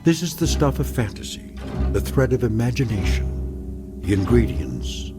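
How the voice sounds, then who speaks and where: A man's voice: fairly low pitch, wildly swooping pitch, measured pace. A man giving portentous, dramatic scripted narration as a radio drama narrator. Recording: radio drama with music underneath.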